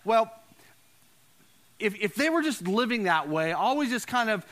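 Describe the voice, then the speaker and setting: Guy pastor preaching, pretty high bright voice, chatty, repeats himself to make the point. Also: big pitch swings, unhurried. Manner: repeats phrases for emphasis, asks listeners questions. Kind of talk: conversational sermon to a congregation.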